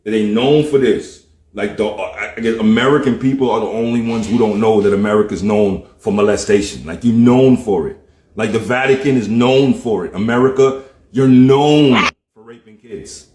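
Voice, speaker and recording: A man's voice: on the low side, normal speed, a little mellow. Ranting man. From Jamaica. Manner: heated, profane, preachy, fires rhetorical questions. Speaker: livestream host. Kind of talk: ranting monologue. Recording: roomy echo.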